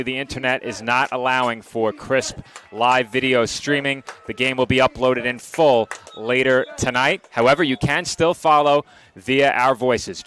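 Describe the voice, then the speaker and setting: Bright-voiced play-by-play football announcer. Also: male voice, medium pitch, smooth. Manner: brisk, precise, lightly wry.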